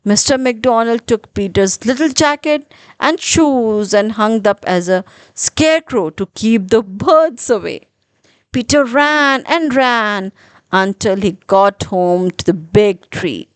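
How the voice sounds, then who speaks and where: A woman narrating a children's story in an expressive, deliberate storytelling manner with dramatic pauses. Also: a little high, clear, resonant tone, no echo.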